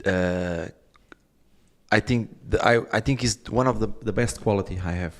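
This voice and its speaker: Deep-voiced Portuguese man, halting English. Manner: halting, repeats words, searches for English words. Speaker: real estate expert guest. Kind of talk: casual conversation.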